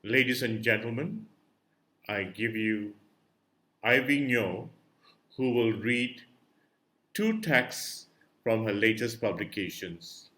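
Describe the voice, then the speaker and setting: A man hosting a reading event, introducing the next reader in a slow, deliberate, formal way. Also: lowish voice, pushed and bright. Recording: roomy echo.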